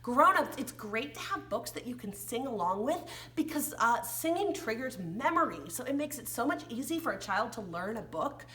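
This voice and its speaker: Animated, high-voiced American woman teaching young children. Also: normal speed, hardly any pauses. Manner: exaggerated, playful delivery with gasps and questions to small children. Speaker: children's read-along and sing-along teacher. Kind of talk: interactive storytelling and sing-song reading for young children.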